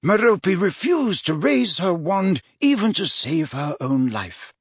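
A grave yet kindly elderly male wizard, performed by a man reading a storybook. He speaks in measured, formal sentences with a lifted, highly varied pitch and a slightly breathy roughness. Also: very animated, no echo, clean studio sound, exaggerated voice. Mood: reflective, grave. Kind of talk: audiobook character voice.

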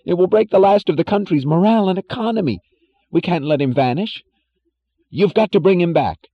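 A man voicing a panicked, whining middle-aged male executive in shrill, frantic, pleading outbursts. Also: lively intonation, brisk, dry recording, lo-fi sound.

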